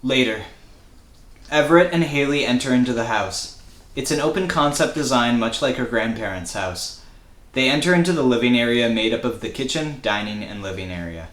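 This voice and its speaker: Male script narrator, mid-pitched, reading action lines in a roomy space. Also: expressive, normal speed, fairly bright tone. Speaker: stage-direction reader. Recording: echoey room.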